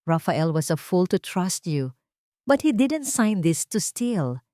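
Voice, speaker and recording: A woman's voice: lowish voice, sing-song, slow pace, warm tone, dry recording. Lady playing a cold vengeful wife in an audiobook drama, controlled and proud, dry close sound. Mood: accusing.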